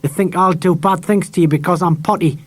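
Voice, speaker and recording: A man acts out an eccentric beachcomber in a children's storybook. The voice sits high and is exclamatory, a blunt, excitable loner with a fatherly streak. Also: a little fast. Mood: blunt farewell. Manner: exclamatory, shouting when excited. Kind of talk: audiobook character acting. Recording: audiobook transferred from cassette tape.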